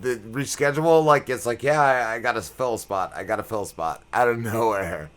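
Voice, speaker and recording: A man's voice: mid-range voice, a little fast, a bit bright. A man hosting a comedy talk show, chatting casually in an emphatic, repetitive way with loose language. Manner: emphatic, repetitive, freely swearing. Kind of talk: casual chat.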